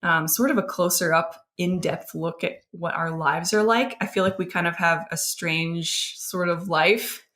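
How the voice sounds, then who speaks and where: A woman's voice: fairly low pitch, unhurried, few pauses, a bit bright. An American woman co-hosting a podcast in casual, conversational talk. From southern New Jersey, United States. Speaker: podcast co-host. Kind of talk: casual chat.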